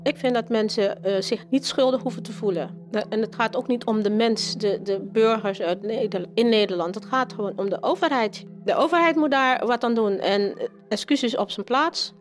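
Halting, earnest woman being interviewed. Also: a little high, music in the background. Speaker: interview guest. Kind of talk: spontaneous interview talk.

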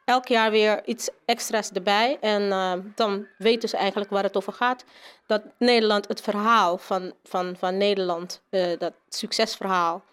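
A woman with a mid-pitched voice talks as an interviewed teacher. She speaks off the cuff, often restarting and repeating words as she goes. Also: fairly even intonation, normal speed, fairly bright tone, clean tone. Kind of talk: spontaneous interview answers.

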